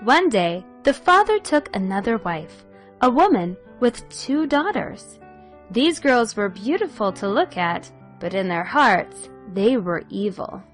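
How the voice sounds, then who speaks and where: A woman narrating a scripted fairy tale for children in an expressive, measured storytelling manner. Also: mid-range voice, soft background music.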